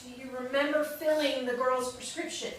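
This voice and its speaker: A woman's voice: high-pitched, steady tone, normal speed, close to an everyday voice. A woman acting a direct, worried lawyer daughter who probes her family, in a staged play reading. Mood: probing. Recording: echoey hall, distant mic, faint noise.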